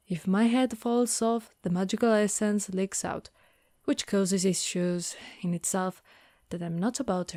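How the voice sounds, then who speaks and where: A woman's voice: medium pitch, mellow, no echo, clean studio sound, lightly acted. A woman voicing a caring, wry female Dullahan, an undead knight, in a reassuring, teasing, conversational ASMR monster roleplay. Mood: calm, explaining. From Italy. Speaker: voice actress.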